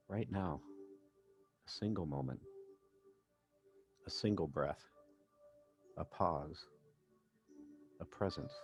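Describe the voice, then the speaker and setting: A man working as a meditation coach, narrating a guided session in gentle, measured phrases with pauses. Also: low-pitched. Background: background music.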